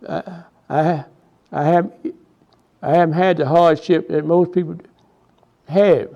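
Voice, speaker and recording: A man in his nineties from Texas with a Southern accent, recalling his life in a halting oral history interview full of restarts and repeated words. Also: on the high side, steady tone, mellow, muffled recording. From Houston, Texas. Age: 90s.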